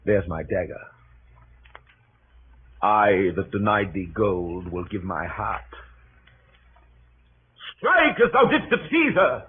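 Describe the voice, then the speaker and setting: An actor playing an aggrieved, hot-tempered Roman conspirator in declamatory, impassioned 1930s radio Shakespeare style. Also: male voice, lowish voice, sing-song, slow, pauses often. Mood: anguished, bitter. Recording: muffled, noisy 1930s radio broadcast.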